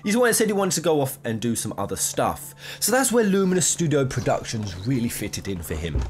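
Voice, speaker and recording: A young man talks straight to camera from a small room, his pitch swinging up and down as he argues his point. He rambles and restarts himself often, speaking into a desk mic. Faint music plays underneath. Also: fairly quick. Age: young adult. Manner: animated, rambling, frequent restarts. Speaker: gaming video host. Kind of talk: opinionated commentary to camera. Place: small room, gaming chair, green-screen backdrop.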